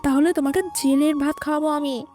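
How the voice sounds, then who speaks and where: Audio story villainess played by a woman: young, shrill and very high, whining, self-pitying, spiteful. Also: fairly even intonation, brisk, warm tone, soft background music, lightly acted. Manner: tearful, shrill complaining.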